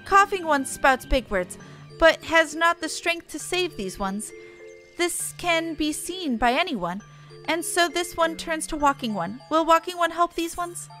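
A woman's voice: very high-pitched, animated, unhurried, sharp tone, faint music behind, voice pushed up, heavily acted. A woman voicing a small, earnest, worried forest sylph elder from a fantasy game, speaking in a quaint third-person manner. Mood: worried, pleading.

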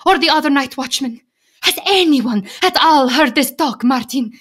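An actress playing a firm, protective Slovak immigrant wife in a radio play, speaking with a Slovak accent in a measured, pleading, emphatic way. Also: female voice, high-pitched, lively intonation, fairly bright tone. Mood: wary, reproving. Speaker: actor.

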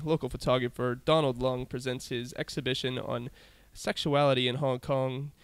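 A man's voice: mid-range voice, gravelly, smooth, no echo. A man hosting a casual podcast interview, reading event listings with fillers and frequent laughs. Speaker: podcast host.

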